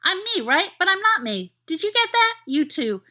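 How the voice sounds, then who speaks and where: Lady putting on a giddy puppy-brained boy, squeaky and bouncing all over, can't stop yapping. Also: sing-song, normal speed, pushed and bright, heavily acted. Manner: breathless, gushing, yippy. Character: excitable boy turned half dog, overjoyed.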